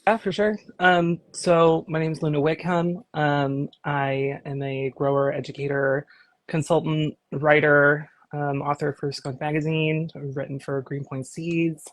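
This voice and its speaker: Woman, raspy from a cold, casual livestream guest, lots of ums, dry sound. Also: deep voice, steady tone, unhurried, no room sound. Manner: casual, many fillers like um and like. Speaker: cannabis grower and consultant. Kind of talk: livestream interview.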